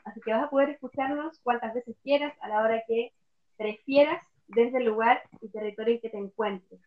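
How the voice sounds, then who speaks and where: A Chilean woman hosting a casual podcast, guiding the talk with an upbeat manner and frequent "eh" fillers. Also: a little high. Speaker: podcast host. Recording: slightly dull lo-fi sound.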